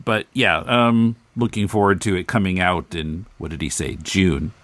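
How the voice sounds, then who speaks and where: A man's voice: low-pitched, fairly even intonation, normal speed, fairly bright tone, dry recording. A man hosting a podcast, chatting casually and asking questions. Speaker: podcast host. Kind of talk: casual chat and interviewing.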